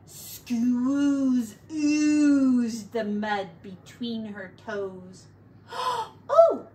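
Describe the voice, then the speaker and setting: A woman teacher doing an expressive read-aloud and sing-song play for young children, drawing out her words. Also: fairly high pitch, slight background noise. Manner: expressive, drawn-out words, hushed asides.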